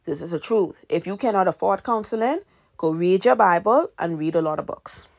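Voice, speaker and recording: A woman's voice: on the low side, animated, moderate pace, creaky. A woman in her twenties hosting a podcast, giving earnest advice in a casual monologue and repeating her key points for emphasis. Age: 20s. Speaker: podcast host and author.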